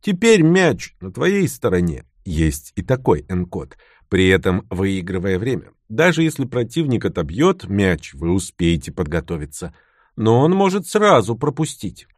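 A man with a low voice reads a scripted text aloud and acts out the quoted retorts with energy. His pitch swings widely from line to line. The sound is dry and close, with no room around it. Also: a little slow, no room sound. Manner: animated reading with acted-out quoted retorts. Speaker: narrator. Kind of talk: scripted book narration.

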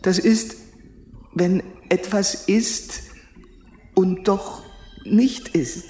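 Haunted soldier in cavernous reverb. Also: male voice, high-pitched, steady tone, mellow. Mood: haunted, searching. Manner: halting, feverish, brooding. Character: troubled, visionary, humble male soldier, haunted and submissive. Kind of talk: German-language radio play acting. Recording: long hall reverb, faint noise.

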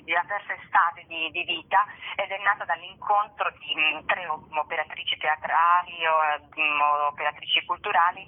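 A woman guest talks in a radio phone interview, feeling her way through sentences with hesitations. Her voice comes through a thin, tinny telephone line with very little top or bottom. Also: fairly low pitch. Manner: hesitant, searching for words. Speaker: guest, cultural organiser and festival curator.

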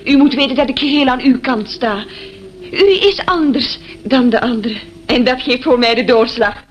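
A woman's voice: fairly high pitch, normal speed, lo-fi sound, lightly acted. An actress playing a gushing, curious young woman secretary in a Dutch radio play, with an effusive, forward manner. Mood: gushing, earnest. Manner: effusive, gushing, breaks into laughter. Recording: noisy old radio play recording.

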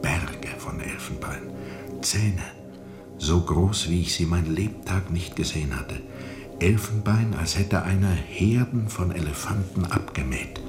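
Very deep-voiced, haunted river captain. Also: male voice, unhurried, music in the background. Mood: haunted, hushed. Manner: brooding, insistent, dramatic repetition. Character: haunted male river steamer captain recounting a dark journey. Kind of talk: radio play monologue.

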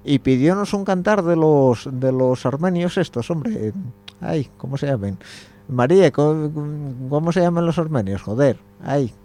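Young male radio host, higher voice, rambling talker who laughs often. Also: lively intonation, a little slow, warm tone. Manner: rambling, laughs often, trails off mid-sentence. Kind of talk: casual radio chat.

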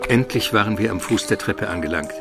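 A man's voice: lowish voice, brisk, over loud music, natural voice. A man playing an educated Byzantine minister who narrates his memories solemnly and vividly in a radio play. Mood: measured, awed. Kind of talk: dramatic scripted first-person narration, radio play.

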